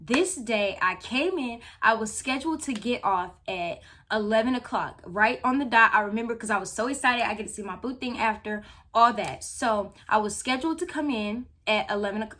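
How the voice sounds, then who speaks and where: A woman of nineteen telling a story about a job to viewers in a chatty, animated way. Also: fairly high pitch. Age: young adult. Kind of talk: casual storytime.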